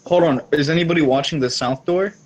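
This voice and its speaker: A man's voice: on the high side, fast talker, slightly rough. Offhand man in casual game chat. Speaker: tabletop game player. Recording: faint noise and clicks on mic.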